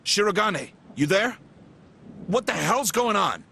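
Game role: brusque middle-aged cop and desperate dad, sharp, forceful, swinging widely in pitch. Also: male voice, animated, unhurried, vocal fry, slightly rough, full character voice. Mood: suspicious. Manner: brusque, commanding, urgent. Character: gruff, hot-tempered middle-aged detective and desperate father. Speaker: police detective character. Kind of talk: dramatic game voice acting.

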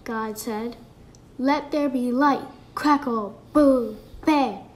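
A woman's voice: on the high side, very slow. A woman reading a children's creation story aloud, playfully voicing the sound effects.

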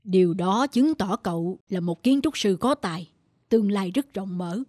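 An actor voicing a stern, reserved old male professor in a cartoon, measured and admonishing. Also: high-pitched, lively intonation, a little mellow, no echo, studio quality. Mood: stern, dismissive. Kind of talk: animated cartoon dubbing.

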